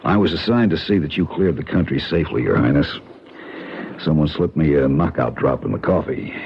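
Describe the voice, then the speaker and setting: A man's voice: a bass voice, expressive, fairly quick, vocal fry, exaggerated voice. An actor playing a tough male government agent in a 1970s radio drama, dry and matter-of-fact. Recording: dull, faintly hissy old radio broadcast.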